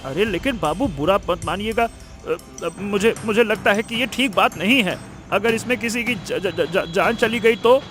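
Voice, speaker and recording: A man's voice: high-pitched, normal speed, fairly bright tone. A man voicing a frightened, servile henchman in a cartoon moral story, stammering and pleading as he talks. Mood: nervous, hesitant. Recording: background music under the voice.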